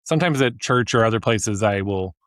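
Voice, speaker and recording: A man's voice: lowish voice, lively intonation, brisk, no room sound, studio quality. A man in his thirties co-hosting a podcast, talking in an enthusiastic, rambling way with many restarts. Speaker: podcast co-host. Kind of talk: casual chat.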